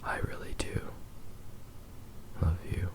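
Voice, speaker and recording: A man playing a possessive, doting fox-boy demon lover in a tender, hushed ASMR roleplay, with lingering pauses. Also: lightly acted. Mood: adoring, soothing.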